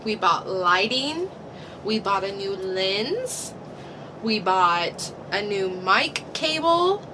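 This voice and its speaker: A woman co-hosting a casual podcast, chatting in a relaxed, self-mocking way. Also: mid-range voice, takes it slowly, background noise. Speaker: podcast co-host.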